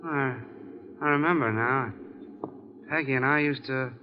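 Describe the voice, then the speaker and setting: A man playing a dazed, injured young escaped convict in an old-time radio drama, halting and groggy, on a muffled recording. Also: medium pitch, noisy background, close to an everyday voice.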